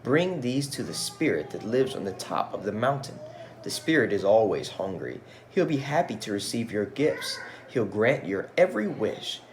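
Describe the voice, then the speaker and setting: Man reading as solemn wise man, very animated pitch. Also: moderate pace, slight background noise. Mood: solemn, instructing. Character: solemn old wise man giving patient instructions.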